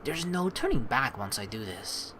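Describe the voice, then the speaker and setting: Medium-pitched man playing through a game. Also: big pitch swings, normal speed, bright, forceful tone, a little hiss or hum. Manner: informal, rambling. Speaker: let's play host. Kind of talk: casual game commentary mixed with dramatic read-aloud narration. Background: game music.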